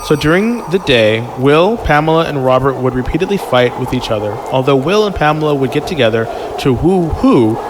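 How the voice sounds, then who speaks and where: A man's voice: medium pitch, expressive, a little fast, few pauses, noisy background. A man reading a story aloud on a podcast in an informal, amused way, breaking off for chatty asides.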